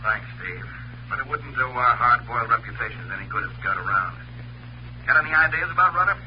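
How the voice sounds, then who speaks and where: An actor playing a gruff, sardonic male newspaper editor in a 1940s radio drama. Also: mid-range voice, expressive, fast, slight background noise, close to an everyday voice. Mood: grudgingly friendly. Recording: muffled, humming old radio, telephone sound.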